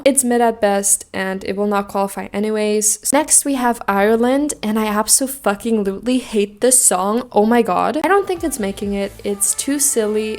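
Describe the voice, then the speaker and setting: A woman's voice: medium pitch, a little mellow. A young woman from Austria giving casual, opinionated commentary as a video host, emphatic and jokey, swearing freely. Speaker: video host giving song contest predictions.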